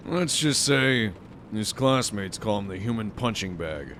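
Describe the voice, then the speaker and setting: A reserved, compassionate school official in an audio drama. His voice is on the low side, formal and serious. A faint rumble sits behind it. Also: expressive, a little slow, fairly bright tone. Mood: somber.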